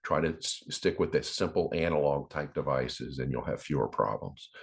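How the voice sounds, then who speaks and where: Very low-pitched man, halting explainer. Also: vocal fry. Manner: halting, repeats words while explaining; instructional, addressing viewers. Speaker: presenter. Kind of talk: informal device demonstration.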